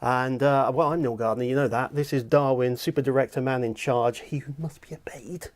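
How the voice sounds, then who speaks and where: A man's voice: mid-range voice, steady tone, fairly quick. A British man chatting casually as host and director, in a jokey, talkative manner. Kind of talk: casual chat.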